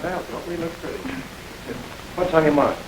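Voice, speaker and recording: An actor playing a smooth, calculating gang boss in a 1930s crime film, calm and commanding. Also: male voice, on the high side, normal speed, noisy background, close to an everyday voice. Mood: calm authority. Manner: cool, controlled, quietly commanding. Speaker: gang chief.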